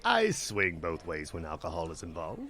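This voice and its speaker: An actor playing an eager, boastful tavern bard in a comedy radio play, excitable and hammy. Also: male voice, low-pitched, normal speed, exaggerated voice. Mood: nervous bragging. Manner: excitable, hammy, stammers when put on the spot.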